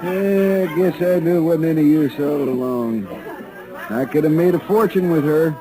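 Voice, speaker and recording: Man acting a high-voiced blustery old-West showman. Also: normal speed, natural voice, poor audio. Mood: worried. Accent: American, western drawl. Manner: folksy, colorful, ungrammatical. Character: blustery, exasperated folksy Wild West showman. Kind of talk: 1950s radio western acting. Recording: old radio recording, crowd murmur behind.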